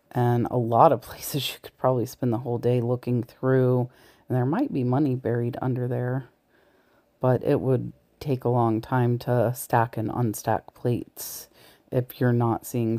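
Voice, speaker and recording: Chatty woman thrifting, mellow voice. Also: very deep voice, unhurried. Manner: chatty, talks to viewers while browsing, sometimes drops to a whisper. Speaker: thrift reseller vlogger. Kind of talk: casual shop-with-me narration. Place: large thrift store, indoors. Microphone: body-worn camera mic, point of view.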